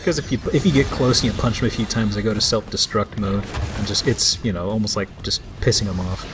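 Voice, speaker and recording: A man's voice: on the low side, steady tone, fast talker, background noise. A man giving casual, joking let's play commentary with dry wordplay as he plays. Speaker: let's play host playing the game. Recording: game music behind commentary. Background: video game music.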